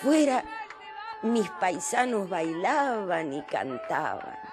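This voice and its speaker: A mom telling her story slow and fond, radio play w/ music under it. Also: fairly low pitch, big pitch swings, crowd noise. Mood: fond remembering. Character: Mary, mother recalling her son's birth fondly. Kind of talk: radio drama narration, storytelling.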